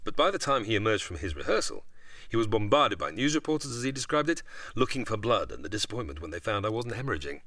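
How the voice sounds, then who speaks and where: Male guest expert, articulate storyteller. Low-pitched but lively, with a dry, close sound. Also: fast talker, no room sound. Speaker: guest expert explaining history. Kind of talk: explanatory interview, largely prepared narration.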